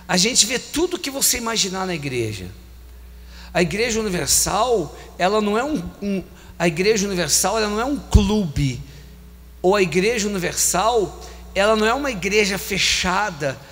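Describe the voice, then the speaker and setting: A man in his forties with a Brazilian Portuguese accent, preaching a sermon on a handheld mic, repeating phrases with emphasis and slowing down on key lines. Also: sits high, a bit bright. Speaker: bishop preaching. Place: large church hall. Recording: long church hall reverb, faint hum.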